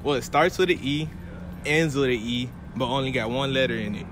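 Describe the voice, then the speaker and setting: A man's voice: mid-range voice, noisy background. Young man teasing with trick questions. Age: young adult. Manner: playful, teasing, drawn-out riddle delivery. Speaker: street quiz interviewer. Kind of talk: casual street prank quiz. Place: outdoors on a college campus.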